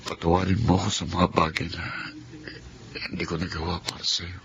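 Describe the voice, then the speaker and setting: A man playing a weakened, remorseful and grateful friend in a radio drama, speaking earnestly and haltingly. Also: low voice, flat delivery, gravelly. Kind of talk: Tagalog radio drama acting. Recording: dull lo-fi audio, faint noise.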